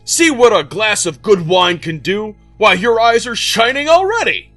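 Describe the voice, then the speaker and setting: A man's voice: high voice, animated, normal speed, no room sound, soft background music, voice pushed up. A man reading an audiobook and voicing a cruel, boisterous king who bullies his jester. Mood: bullying. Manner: blustering, bullying, loud commands.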